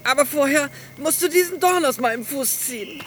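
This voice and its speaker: High, whiny chubby little halfling. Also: male voice, moderate pace, a bit bright, slight background noise. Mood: whiny, pained. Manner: whiny, pleading. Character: chubby little hairy-footed man, whiny, self-important. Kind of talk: children's audio drama.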